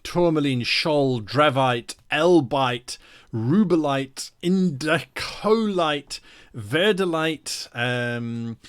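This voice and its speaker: A man's voice: fairly high pitch, expressive, drawn-out words, clear, resonant tone. A man hosting a live worldbuilding stream, chatting casually and reading out viewer comments in an enthusiastic, playful way.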